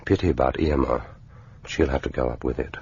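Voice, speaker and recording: An actor playing a resolute British secret agent, thinking tersely to himself in a radio drama. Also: male voice, very deep voice, fairly even intonation, a little fast, gravelly, darkish tone. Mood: urgent, self-questioning. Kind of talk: radio drama inner monologue. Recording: dull, noisy radio recording.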